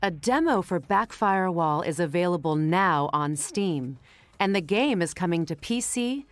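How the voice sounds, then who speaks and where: Woman, lower-pitched voice, scripted promotional presenting, showcase host. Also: expressive, a little slow.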